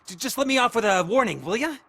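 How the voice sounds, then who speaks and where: A cocky but frightened boy punk for a video game. His voice is extremely high and jumps around in pitch. He stammers and whines defensively. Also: animated, fast, sharp tone, no echo, exaggerated voice. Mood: nervous bargaining. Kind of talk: video game cutscene acting.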